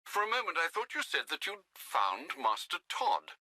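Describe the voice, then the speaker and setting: A man's voice: a little high, wildly swooping pitch, moderate pace, bright, forceful tone, no echo, exaggerated voice. A man playing an elderly British butler in a video game, polite, formal and devoted. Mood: shocked concern. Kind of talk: dramatic video game acting.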